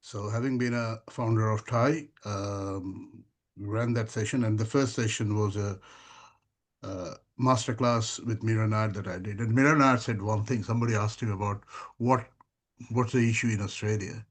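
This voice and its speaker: A man, a filmmaker, answering interview questions in a rambling, conversational way with frequent pauses. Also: lowish voice, steady tone. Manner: rambling, many fillers and restarts.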